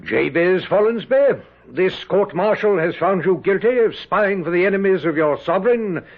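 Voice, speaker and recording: An actor playing a haughty, stern British general in an old radio drama, formal and pompous, with a gravelly voice. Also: male voice, high-pitched, animated, moderate pace. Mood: stern, scornful. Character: haughty British general presiding over a court-martial. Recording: muffled lo-fi vintage radio recording.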